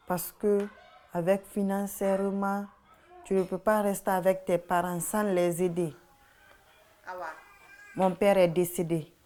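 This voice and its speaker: A woman. Her voice sits low and mellow, and she talks in plain, halting phrases, giving personal testimony for a documentary. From Guinea. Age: 20s. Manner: halting, plain short sentences. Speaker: interviewee.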